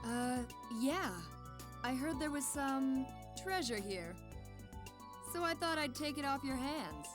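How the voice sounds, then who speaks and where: A woman's voice: sits high, animated, slow. A woman voicing a cocky, easygoing young thief in a video game, breezy and teasing. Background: game music.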